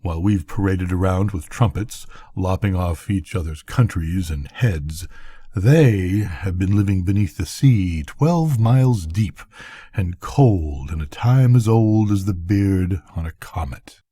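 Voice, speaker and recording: A man's voice: low voice, animated, measured pace, dark tone, breathy edge, no echo, studio quality, heavily acted. A man voicing a musing, folksy, lonely lighthouse keeper in a bedtime storybook reading. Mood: solemn, awed. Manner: thoughtful, folksy.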